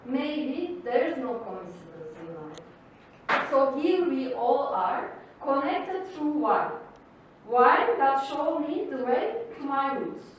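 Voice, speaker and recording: A woman whose first language is Turkish, hosting a wine evening and giving a formal, measured welcome speech. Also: high-pitched, very animated, a little hiss or hum. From Turkey. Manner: slow, measured, formal delivery. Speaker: event host and wine professional. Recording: distant mic in an echoey hall.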